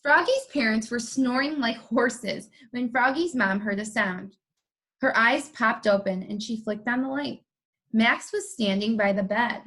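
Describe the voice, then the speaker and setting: A woman's voice: on the high side, unhurried, sharp tone, rough recording. A woman reading a picture book to young children, with playful sound words and short chatty reactions. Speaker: read-aloud co-presenter for young children. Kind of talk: animated storybook narration with chatty asides.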